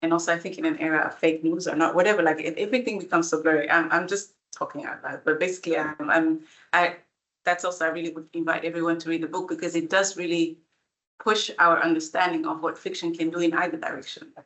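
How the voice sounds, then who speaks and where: Bright-voiced woman thinking aloud. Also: low voice, steady tone, fast talker, poor audio. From Belgium. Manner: thinking aloud, rambling, with restarts. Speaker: international relations academic. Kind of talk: panel discussion at a book event.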